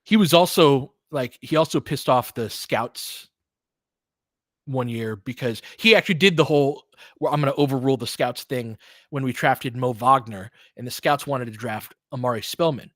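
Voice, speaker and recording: Male play-by-play host for basketball. Conversational, thinks out loud, breaks down the action, recorded dry. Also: mid-range voice, normal speed, dry recording. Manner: conversational, thinking aloud, analytical. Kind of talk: live basketball commentary with casual asides.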